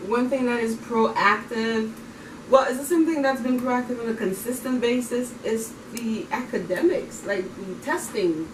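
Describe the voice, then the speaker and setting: A woman's voice: a little high, measured pace. Chatty guest woman from Haiti. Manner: chatty, laughs easily. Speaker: community advocate guest. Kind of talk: casual conversation. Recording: echoey room, distant mic, noisy.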